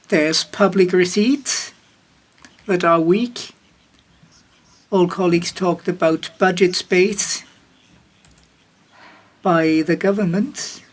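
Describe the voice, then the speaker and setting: A woman giving a simultaneous interpretation of a panel chair's remarks, measured, with occasional restarts and self-corrections. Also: lowish voice. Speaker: conference interpreter.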